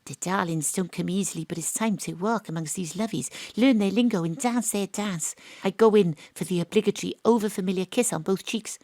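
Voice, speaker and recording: A woman playing a wry, brisk amateur sleuth. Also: medium pitch, a little mellow, no room sound, lightly acted. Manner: wry, dry asides in narration and dialogue. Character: brisk female amateur sleuth posing as an actress. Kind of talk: live remote audio drama acting. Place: home studio.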